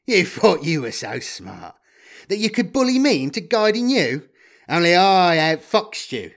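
A man's voice: sits high, very animated, unhurried, voice pushed up, exaggerated voice. A man voicing a smug, easily rattled male guide who double-crosses people, gloating and emphatic, in an audiobook. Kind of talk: audiobook character voice.

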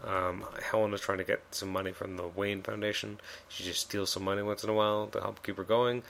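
A man's voice: fairly low pitch, level tone, fairly quick, creaky, a bit bright, slight background noise. A man hosting a solo comic review podcast, talking casually with many fillers and restarts, his voice a bit worn.

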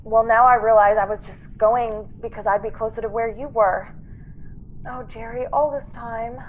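A woman playing a brave young stowaway in a radio play, speaking tenderly and haltingly with earnest, tearful melodrama. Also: on the high side, steady tone, dull audio, lightly acted. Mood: tender, confessing. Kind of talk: classic radio drama acting.

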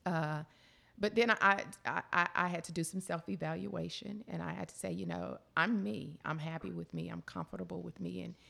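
A woman with a deep alto voice, telling her own story in a confident, measured way. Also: steady tone, vocal fry, pushed and bright. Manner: confident, measured, repeats words as she builds a point. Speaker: panel guest. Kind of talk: personal storytelling in conversation.

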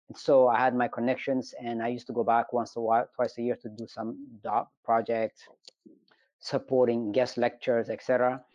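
A man's voice: medium pitch, a little mellow, no echo, dull audio. A middle-aged man from Ethiopia, an engineering professor telling his story in an interview with frequent hesitations. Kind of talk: conversational interview.

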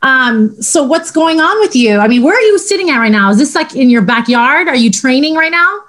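A woman's voice: high voice, lively intonation, a bit bright. Upbeat chatty woman hosting an interview. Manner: upbeat, chatty, quick affirmations. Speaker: podcast host. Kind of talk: casual interview.

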